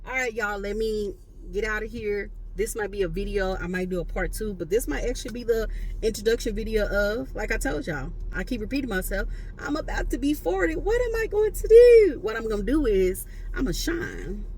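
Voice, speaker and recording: A woman's voice: medium pitch, expressive, fairly quick, a little mellow, a little hiss or hum. Chatty woman in her late thirties. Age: late 30s. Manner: chatty, loose and playful, slangy asides. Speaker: vlogger. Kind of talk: casual talk to camera.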